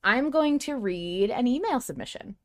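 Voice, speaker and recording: A woman co-hosting a fan podcast, chatting casually and reading out emails in an animated, emphatic way. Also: on the high side, normal speed. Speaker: podcast co-host.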